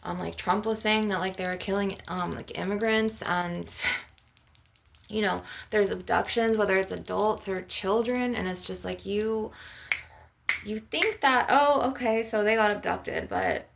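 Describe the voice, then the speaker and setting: A woman with a creaky voice speaking as a spiritual healer in an ASMR session, earnest and instructive in a conversational way. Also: mid-range voice, unhurried, slight background noise. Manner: earnest, instructive, often adding "you know" and "okay". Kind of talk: spiritual ASMR healing session with rambling talk.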